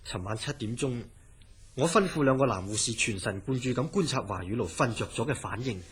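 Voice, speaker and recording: A man's voice: mid-range voice, lively intonation, brisk, natural voice. A man playing a grave, authoritative hospital director in a Cantonese radio horror drama, with a Hong Kong accent. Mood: grave, measured.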